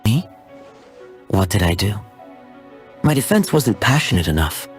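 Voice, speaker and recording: A man voicing a wry, smooth-talking swordsman in a video game, with a teasing manner. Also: on the low side, sing-song, a little slow, soft background music. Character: wry, smooth-talking ice-wielding swordsman, adult male.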